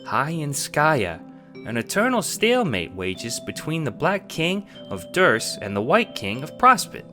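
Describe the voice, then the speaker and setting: Eager, high-pitched little cat sprite. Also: male voice, a little slow, faint music behind, heavily acted. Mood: eager, proud. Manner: hesitant, stammering, excitable. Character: eager, nervous, childlike cat sprite with tentacle arms.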